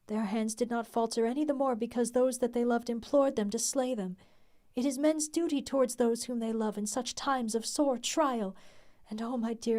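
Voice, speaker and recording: A woman's voice: high voice, a little mellow, voice pushed up, lightly acted. A woman voicing a devoted young wife who speaks earnestly and solemnly of her own death.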